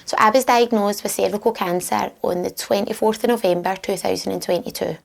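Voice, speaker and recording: Gravelly Scottish mother of four. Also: medium pitch, fairly even intonation, a little fast. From Scotland. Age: 30s. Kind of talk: personal testimony.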